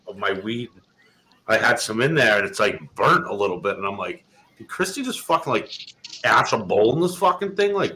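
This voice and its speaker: A man in his thirties from Massachusetts, swapping stories in a relaxed chat. He swears constantly and acts out each quote with gusto, and his voice sounds bright and cutting. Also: mid-range voice, poor audio. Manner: profane, animated storyteller acting out quotes. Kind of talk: casual chat, storytelling.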